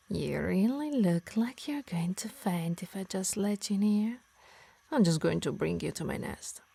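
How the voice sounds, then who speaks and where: A woman's voice: fairly low pitch, animated, a little slow, creaky, warm tone, husky, no echo, full character voice. A woman playing a possessive, teasing harpy healer who scolds fondly in an ASMR roleplay. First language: Italian.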